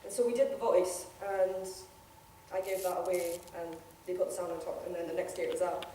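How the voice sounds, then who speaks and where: A woman's voice: fairly low pitch, little pitch movement, fairly quick, warm tone, a little hiss or hum. A young woman from Newcastle chatting casually on a convention panel about amateur voice acting, often laughing and acting out what others said. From Newcastle, England. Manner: chatty, laughs often, acts out quoted remarks. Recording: echoey hall, voice from far off.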